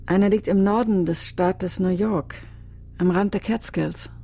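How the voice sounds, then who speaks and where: Husky, guarded fortune teller. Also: female voice, medium pitch, steady tone, measured pace, mellow. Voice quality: husky, creaky. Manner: guarded, terse. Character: reticent Mexican fortune teller, guarded and enigmatic. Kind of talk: radio crime drama dialogue.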